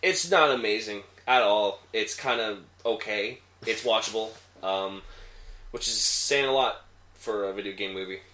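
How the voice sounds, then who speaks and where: A man with a fairly low voice chats as a review panelist. He is hesitant and halting, backing up to correct himself, in a room with clear echo. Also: bright, forceful tone. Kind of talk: casual review chat. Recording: echoey room.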